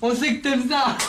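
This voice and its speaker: A man's voice: very high voice, noisy background, heavily acted. A man playing a brash, needling young guy from a housing estate in a film, confrontational and slangy. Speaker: film actor. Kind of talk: naturalistic film dialogue in street slang.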